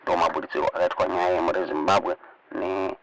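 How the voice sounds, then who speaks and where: A man's voice: sits low, fairly even intonation, quick pace, distant mic, background noise. A Zimbabwean man whose native language is Shona, presenting online news commentary and reading reports aloud.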